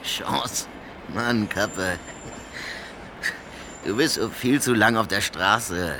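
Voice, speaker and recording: A man's voice: on the low side, extremely slow, noisy background. An actor playing a nervous, bragging homeless man who drinks, rambling and repeating himself in a radio drama. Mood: boastful, mocking. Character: boastful, nervous homeless male drinker, former coal carrier. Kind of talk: German radio drama acting.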